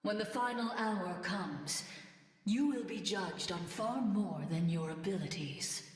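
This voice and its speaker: Reverberant, godlike cosmic entity. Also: female voice, mid-range voice. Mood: ominous. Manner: grand, ominous, measured. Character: solemn, godlike cosmic firebird entity, female. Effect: long reverberant echo. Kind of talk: video game dialogue. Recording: echoey, long reverb tail.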